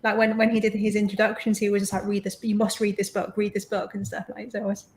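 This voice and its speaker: A woman's voice: medium pitch, flat delivery, quick pace, a little mellow, a little hiss or hum. A young woman co-host, formerly a science teacher, chatting casually. She describes herself as an introvert and talks with many fillers. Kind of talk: casual chat.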